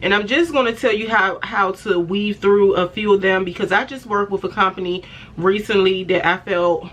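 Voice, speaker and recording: A woman in her forties talks straight to her viewers in an easy, chatty vlog manner, calling them by pet names and laughing often between thoughts. Her voice is a little raspy and stuffy after an illness, and her pitch sits in a middle range. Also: fairly even intonation, normal speed, a bit bright. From Washington DC area. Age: 40s. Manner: chatty, affectionate, many fillers, laughs often. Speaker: fashion vlogger. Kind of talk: casual talk to camera.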